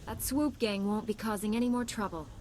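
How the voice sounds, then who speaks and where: A woman's voice: mid-range voice, fairly quick, darkish tone, slight background noise, natural voice. Sci-fi game heroine, female actor: cool, self-assured knight, mid-range voice, hall-like reverb. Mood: cool, confident. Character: cool, confident female Jedi knight hero. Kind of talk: video game dialogue.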